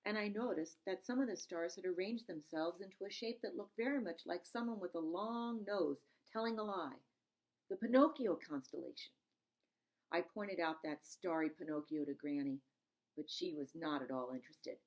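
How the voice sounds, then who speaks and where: A woman reading a storybook aloud in an expressive, emphatic narrating style. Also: on the low side, normal speed, rough recording. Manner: dramatic, emphatic, stops to explain words. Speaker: storybook reader.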